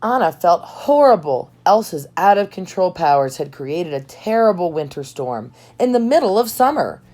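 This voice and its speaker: Female storybook reader, low and warm-toned, expressive and dramatic phrasing, light music underneath. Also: moderate pace. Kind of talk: expressive read-aloud of a children's storybook. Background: background music.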